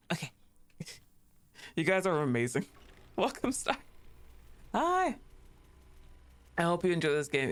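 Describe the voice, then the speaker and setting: A woman's voice: fairly low pitch, creaky. A woman streaming a game live, giggling often, swearing freely and gushing thanks to her chat.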